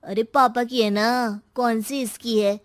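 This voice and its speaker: A woman's voice: fairly high pitch, fairly even intonation, takes it slowly. A woman playing a catty, teasing young female friend in a cartoon, blunt and taunting.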